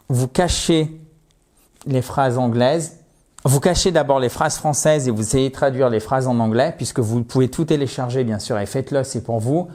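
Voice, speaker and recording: A French-speaking man gives an English lesson to the camera in a mid-range voice. He stresses and spells the English words slowly and keeps asking his viewers whether they follow. His voice sometimes drifts off the mic as he moves around. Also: medium pitch, darkish tone. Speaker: English teacher. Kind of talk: video lesson, explaining to viewers.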